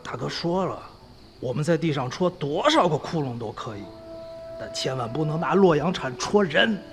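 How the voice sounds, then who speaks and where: An actor playing a nervous younger male grave robber in a radio drama, anxious and excitable. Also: a little high, moderate pace, soft background music.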